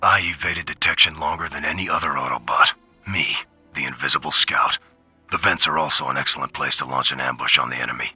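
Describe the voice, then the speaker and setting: A man's voice: extremely low pitch, brisk, bright, forceful tone, slightly rough, faint music behind, full character voice. A man playing a proud, weary robot scout, brooding and self-important in a dramatic audio log.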